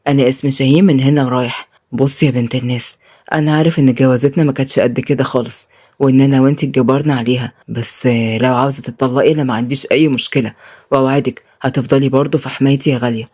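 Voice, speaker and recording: Woman doing a low-voiced older husband, gruff but tender, dark tone, fast delivery. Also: steady tone. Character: gruff protective working-class man, older husband.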